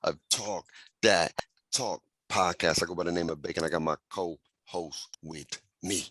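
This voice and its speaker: Low bright-toned podcast host, man. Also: a little slow, no echo. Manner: relaxed, conversational, asks questions. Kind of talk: casual chat.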